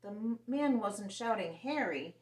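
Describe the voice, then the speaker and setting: A woman reading a picture book aloud to young children in an animated, expressive way. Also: mid-range voice, moderate pace, recorded from a distance. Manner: animated and expressive, voicing characters. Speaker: children's story reader. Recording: roomy sound, set back from the mic.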